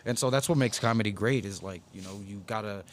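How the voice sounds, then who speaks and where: A man's voice: on the low side, fast talker, a little hiss or hum. A man chatting casually on a podcast, telling jokes and laughing often in loose slang. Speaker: comedian guest.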